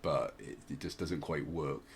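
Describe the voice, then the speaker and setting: A man's voice: very deep voice, unhurried, gravelly, slight background noise. A man hosting a podcast, casually explaining music in a hesitant, rambling way. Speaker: podcast host.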